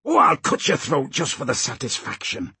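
A man acts out a gloating pirate villain for a storybook. The voice is very husky and rough-edged, swinging up and down as he taunts and threatens in coarse, ungrammatical speech. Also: mid-range voice, big pitch swings, measured pace, no room sound, full character voice. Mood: threatening. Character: menacing, gloating grown male pirate villain with a knife.

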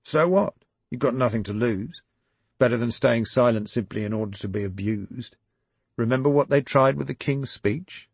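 A man voicing a smooth, calculating businessman who speaks in a measured, persuasive way. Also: mid-range voice, no echo, pitched above the performer's own voice. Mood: urging.

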